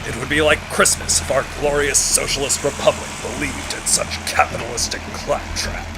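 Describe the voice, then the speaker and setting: A man's voice: medium pitch, fairly even intonation, moderate pace, noisy background, close to an everyday voice. A man voicing a bombastic, gleefully menacing Soviet colonel in a comedic parody dub, theatrical and pompous. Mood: smug menace.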